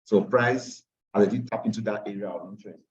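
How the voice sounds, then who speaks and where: A man's voice: on the low side, fast talker, slight background noise. A tired man teaching a live online trading class, repeating phrases and slipping into Pidgin asides. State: tired after a long day. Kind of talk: live online class walking through charts. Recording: distant, dull lo-fi call audio.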